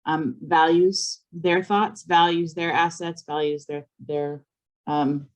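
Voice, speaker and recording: A woman teacher educator making a measured, reflective comment in a workshop discussion. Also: deep voice, level tone.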